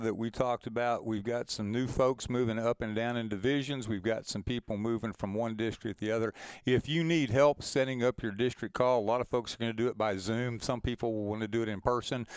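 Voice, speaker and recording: A man's voice: mid-range voice, smooth, dry recording. A man hosting a webinar, explaining things step by step in an informal, folksy, conversational way. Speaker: webinar host and moderator.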